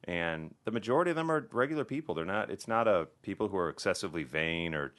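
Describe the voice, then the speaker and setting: A man from the American Midwest, a plastic surgeon speaking as a podcast guest in relaxed casual conversation, full of restarts and fillers. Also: low-pitched, quick pace. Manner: relaxed, conversational, many restarts and "you know".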